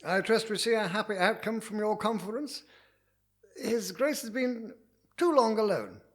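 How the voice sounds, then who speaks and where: An actor playing a duke's loyal major-domo in a period audio drama, courtly, deliberate and insinuating. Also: male voice, sits high, expressive, fairly bright tone. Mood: courtly, measured.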